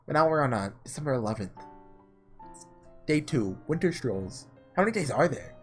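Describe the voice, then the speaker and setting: A man's voice: medium pitch, animated, normal speed, husky, faint music behind. A man hosting a casual gaming playthrough, thinking aloud informally and reading visual novel text. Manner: informal, musing out loud. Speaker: gaming video host.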